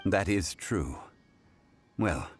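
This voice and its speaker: A calm, scholarly gentleman in a video game, with a low voice. He speaks formally and courteously, every phrase composed and knowing, over faint music. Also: extremely slow, heavily acted.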